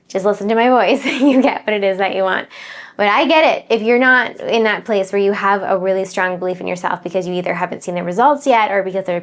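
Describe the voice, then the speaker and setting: A woman in her thirties, a manifestation coach, speaking straight to her viewers in an earnest, emphatic, encouraging way and repeating key phrases. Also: medium pitch. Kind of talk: direct-to-camera motivational talk.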